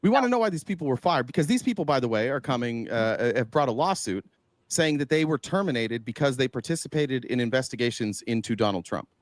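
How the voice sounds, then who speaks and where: A man's voice: mid-range voice, animated, quick pace, no echo. A man giving casual political commentary, emphatic, throwing out rhetorical questions and swearing freely.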